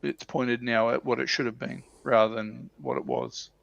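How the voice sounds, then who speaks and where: A man giving his opinions in casual, halting chat as a panelist on a hobby livestream, often rephrasing as he goes. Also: mid-range voice, fairly even intonation, creaky, fairly bright tone, no echo, lo-fi sound. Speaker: livestream panelist on a tabletop wargaming show.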